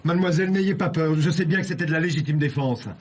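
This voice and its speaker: An actor playing an earnest, polite academic who is hesitant and easily rattled, in naturalistic film style. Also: male voice, fairly high pitch, fast, background noise, close to an everyday voice. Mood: flustered, explaining. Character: earnest, flustered academic man working among police. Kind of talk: naturalistic French film acting.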